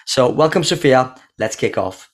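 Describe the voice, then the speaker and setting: Guy hosting a podcast, bubbly chatty intro vibe, mid pitch, lots of ums. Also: animated, normal speed. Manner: chatty and enthusiastic, with fillers. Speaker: podcast host.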